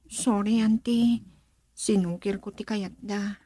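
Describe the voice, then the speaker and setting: A young woman with an Ilocano accent acting a polite, hesitant niece in a radio drama, with drawn-out hums. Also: mid-range voice, fairly even intonation, dark tone, breathy edge, no echo. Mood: apologetic, polite.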